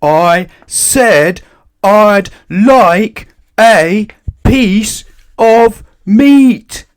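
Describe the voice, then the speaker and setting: A man's voice: high voice, clean tone, pitched above the performer's own voice. A man voicing a very noisy male storybook character who bellows and drags out his words. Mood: roaring. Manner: shouting, drawn-out bellowing.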